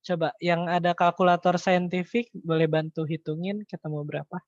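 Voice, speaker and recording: A man teaching an informal online lesson, thinking aloud through figures in a halting way and checking with his listeners. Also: a little high, flat delivery. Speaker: accounting tutor. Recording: echoey room, dull lo-fi online-call audio.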